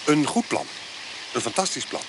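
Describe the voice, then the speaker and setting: A man's voice: mid-range voice, wildly swooping pitch, normal speed, vocal fry. An actor playing a scheming, fawning male vagabond trader with a big nose in a radio play. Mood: wheedling. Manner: fawning, wheedling. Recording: radio play with noisy background.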